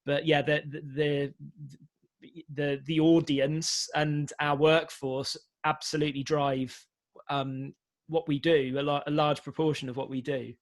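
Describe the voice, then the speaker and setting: A man's voice: a little high, flat delivery, unhurried, no room sound. A man speaking on a panel for a radio organisation, explaining hesitantly and often repeating words. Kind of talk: spontaneous panel talk.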